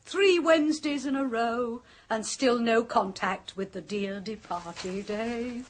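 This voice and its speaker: A woman's voice: fairly high pitch, slow, background noise. An actress playing a flamboyant, theatrical middle-aged woman who teases her sister and a visitor in a radio play. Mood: teasing. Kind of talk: 1970s radio drama acting.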